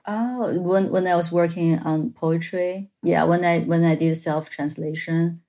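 A woman with a Chinese accent, whose first language is Chinese, answering interview questions as an author in a thoughtful, halting way with many fillers and restarts. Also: on the low side, fairly even intonation, dark tone. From China. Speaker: author guest.

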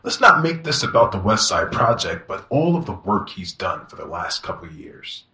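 An actor playing an easygoing, wealthy master of the house in a casual audio drama style. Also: male voice, sits low, very animated, moderate pace, sharp tone, exaggerated voice. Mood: affectionate, easygoing.

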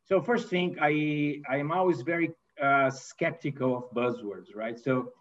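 A man's voice: fairly high pitch, measured pace. Man, 50s, Brazilian accent, non-native English, hesitant, many fillers, distant mic, muffled lo-fi call. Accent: Brazilian Portuguese. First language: Portuguese. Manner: hesitant, many fillers and restarts, frequent "you know". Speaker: manufacturing executive guest. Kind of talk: conversational interview answers.

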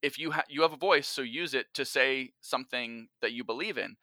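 A man's voice: medium pitch, brisk, sharp tone, no echo, clean studio sound. A man talking in an emphatic, instructive way as a guest giving advice in a conversational interview. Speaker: podcast guest, writer and teacher.

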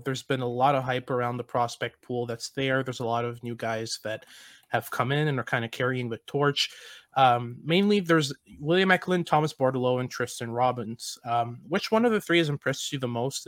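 A young man hosts a hockey podcast and breaks down draft prospects in an analytical way, with plenty of ums and restarts. His voice sits at an ordinary pitch and is recorded close and dry, with no room sound. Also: fairly bright tone, no echo. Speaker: podcast host.

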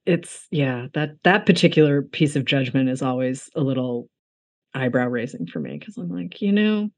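A woman from the United States hosting a casual book interview, talking informally with animated, self-deprecating asides. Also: rumbling low, normal speed. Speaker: interviewer, host.

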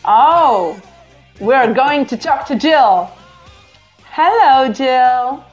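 A woman from Portugal with a Portuguese accent, a native Portuguese speaker, hosting a casual live call-in show in a halting way with repeated words. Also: sits high, expressive. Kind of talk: casual live chat with listeners. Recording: dull lo-fi app audio with music. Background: music.

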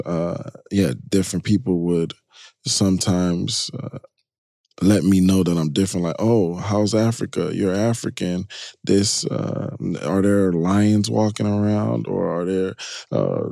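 A man's voice: sits low, gravelly, dark tone, no echo, clean studio sound. A man from Chicago who spent part of his upbringing in Nigeria, speaking conversationally and emphatically as an interview guest, explaining as he goes. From Chicago, United States, partly raised in Nigeria.

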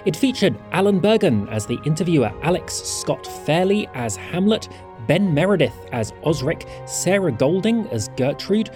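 A man's voice: fairly high pitch, sing-song, moderate pace, a little mellow. A man presenting a podcast, reading scripted credits and thanking patrons over music. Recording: voice over clearly audible music.

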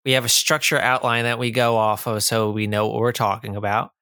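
A man's voice: mid-range voice, level tone, brisk, bright, forceful tone, clean tone, no echo, studio quality. A man hosting a casual movie review podcast, bantering wryly with his co-host. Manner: wry, bickering with co-host.

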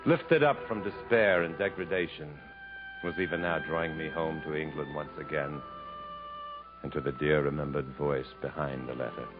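A man acting in a 1950s radio drama, playing an earnest, world-weary Englishman who speaks in a dramatic, measured way. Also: rumbling low. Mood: wistful, reflective. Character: earnest, world-weary Englishman narrating his jungle journey. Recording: muffled 1950s radio broadcast, faint music.

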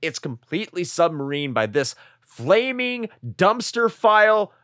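Loud profane ranting man. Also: sits high, very animated, a little slow, no room sound, studio quality. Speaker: podcast co-host. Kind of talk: ranting casual podcast chat.

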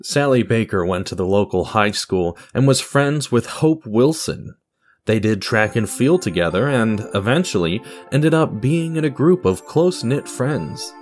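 A man's voice: mid-range voice, animated, measured pace, darkish tone, faint music behind. A man narrating a scripted audio drama in a theatrical, storybook style, with a wry touch.

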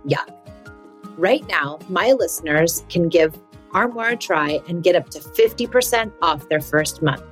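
Lady host doing a chatty ad read, lowish voice, faint music under it. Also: animated, measured pace. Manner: friendly, chatty promotional delivery. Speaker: podcast host reading a sponsor ad.

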